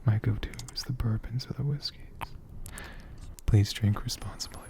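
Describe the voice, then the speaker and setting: A man speaking as an ASMR host in a soft, gentle, hushed whisper, taking his time. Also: on the low side, normal speed.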